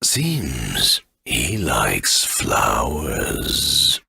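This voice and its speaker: A man voicing a huge, ancient great elder tree in a video game, with a raspy voice and a halting, rambling way of remembering. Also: wildly swooping pitch, bright, forceful tone, no room sound, exaggerated voice. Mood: slow recollection. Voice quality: very deep, gravelly, raspy. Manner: slow, halting, rambling recollection.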